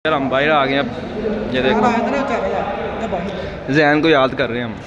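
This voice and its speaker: A man's voice: mid-range voice, fairly even intonation, normal speed, a loud crowd. A young man with a Pakistani Punjabi accent chatting casually as a vlogger, repeating phrases for emphasis, on a handheld phone. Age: young adult. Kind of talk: casual walk-around vlog chat. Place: on the move through a mall, terrace and outdoors. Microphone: handheld phone at arm's length. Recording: dull phone audio with loud background noise.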